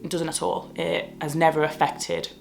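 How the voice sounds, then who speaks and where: A woman's voice: low voice, little pitch movement, moderate pace, creaky, slight background noise. A woman in her twenties from the north of England with a northern English accent, talking bluntly in a casual Q&A vlog. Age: 20s. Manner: blunt and outspoken. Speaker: model and vlogger.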